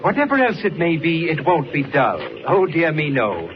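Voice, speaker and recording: An actor playing a genteel, unflappable elderly scientist, courtly and musing, in a 1940s radio serial. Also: male voice, fairly high pitch, big pitch swings, normal speed. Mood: musing, wry. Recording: muffled, noisy old 1940s radio recording.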